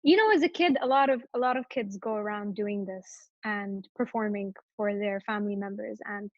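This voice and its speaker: A woman's voice: medium pitch, normal speed, dry recording. Hesitant young woman, dry lo-fi sound. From Morocco. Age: young adult. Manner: hesitant, many fillers and restarts. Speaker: interview guest. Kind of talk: casual interview chat.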